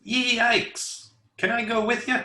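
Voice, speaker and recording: A grown man acts an eager, cheeky young boy in an old-time radio comedy. The voice is high and sharp, with an excitable delivery, in an echoey room. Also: slow pace, heavily acted. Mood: excited. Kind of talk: 1940s radio comedy acting, recreated. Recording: roomy home remote recording.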